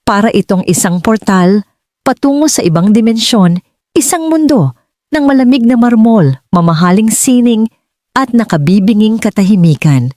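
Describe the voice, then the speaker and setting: A woman's voice: mid-range voice, lively intonation, normal speed, warm tone, no echo. A woman reading a scripted dramatic story as an audiobook narrator.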